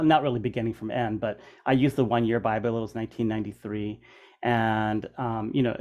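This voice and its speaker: A man's voice: medium pitch, a little mellow. A middle-aged man hosting a casual interview, talking informally and banteringly, with plenty of "like" and "man". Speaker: interview host.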